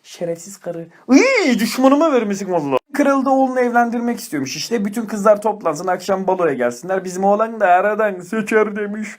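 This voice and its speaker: Funny storyteller, middle pitch, sweary and slangy, tosses in scolding little asides. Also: expressive, fairly quick, few pauses. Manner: colloquial, mock-scolding asides. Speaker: comic storyteller.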